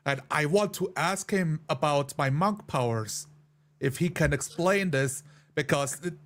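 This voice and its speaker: A man's voice: fairly high pitch, a little slow. A man who plays tabletop roleplaying games, casually talking through what his character does. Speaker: tabletop game player. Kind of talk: casual chat about a roleplaying game.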